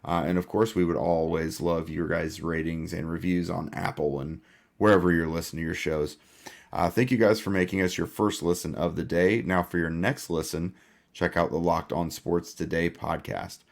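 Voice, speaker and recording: A man hosting a casual sports podcast, hoarse and losing his voice, with a laid-back delivery full of "uh" fillers. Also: sits low, little pitch movement, moderate pace. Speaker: podcast host.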